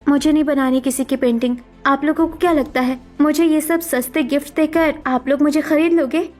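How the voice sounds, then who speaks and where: A woman's voice: high voice, steady tone, moderate pace, darkish tone, soft background music, natural voice. A woman voicing a hurt, self-doubting young sister-in-law in a dramatic cartoon story, with a plaintive, defensive manner. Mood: angry.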